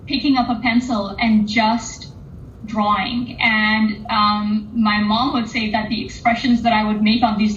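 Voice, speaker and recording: A woman who grew up between England and Oman and later the United States, chatting casually as an interview guest. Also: on the high side. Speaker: interviewed artist. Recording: roomy, dull, lo-fi audio with faint noise.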